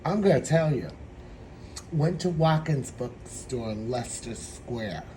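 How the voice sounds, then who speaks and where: A man with a hoarse, troubled throat giving a meandering, reflective spiritual tarot reading. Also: mid-range voice, animated, background noise. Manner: meandering, reflective, slow-spoken. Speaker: tarot reader.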